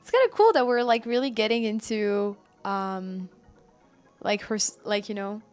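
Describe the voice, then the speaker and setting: A woman's voice: mid-range voice, normal speed, a little mellow, clear, resonant tone, soft background music. A woman game streamer chatting casually and commenting live on her play. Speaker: game livestreamer.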